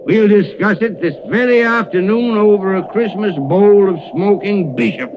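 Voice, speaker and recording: An actor playing an elderly reformed miser in a vintage radio drama, exuberant and chuckling, full of exclamations. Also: male voice, sits high, measured pace. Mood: merry. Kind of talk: 1930s radio drama acting. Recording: noisy, dull 1939 radio broadcast.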